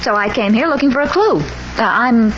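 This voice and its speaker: An actress plays a spirited young reporter with quick, spunky retorts. Her voice sits a bit high. It comes through a muffled, hissy old radio recording. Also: expressive, natural voice. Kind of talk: 1940s radio acting.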